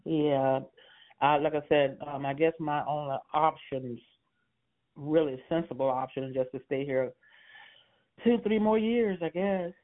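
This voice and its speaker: A man's voice: a little high, a little slow. A man in his sixties, a homeowner on a phone call, talking hesitantly with many fillers and short laughs. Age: 60s. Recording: tinny phone line.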